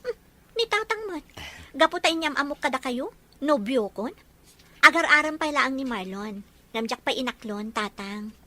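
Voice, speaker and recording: A woman's voice: high voice, animated, normal speed, pauses often, sharp tone. A woman playing a guarded but teasing young working woman in an Ilocano romance drama. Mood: playful.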